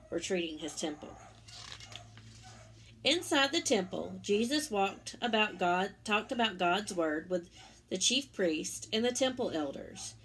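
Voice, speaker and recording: A woman reading a children's religious picture book aloud as a story-time teacher, pausing for simple explanatory asides to young listeners. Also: medium pitch, a bit bright, slight background noise, low-quality recording.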